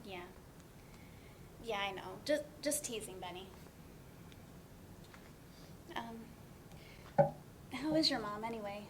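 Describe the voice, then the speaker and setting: A woman's voice: on the high side, lively intonation, slow, pauses often, noisy background. A woman playing an earnest young professional in a dramatic reading of a stage play, speaking conversationally with small hesitations. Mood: sympathetic. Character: Kat, earnest, apologetic young professional woman, teasing friend.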